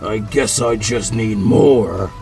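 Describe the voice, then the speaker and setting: A man voicing a brutish, boastful male villain who shouts in a slow, gravelly growl. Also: fairly low pitch, soft background music, pitched below the performer's own voice. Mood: menacing.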